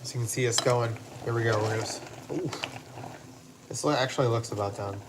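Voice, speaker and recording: A man's voice: mid-range voice, brisk, noisy background. A man hosting a casual live cooking stream from a home kitchen, joking around and correcting himself mid-sentence. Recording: kitchen clatter behind. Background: dishes and pots clanking.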